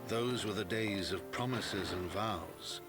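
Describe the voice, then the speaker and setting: An actor playing a solemn, grandiose narrator of a fantasy game saga, in measured scripted narration. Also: male voice, on the low side, over music. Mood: wistful.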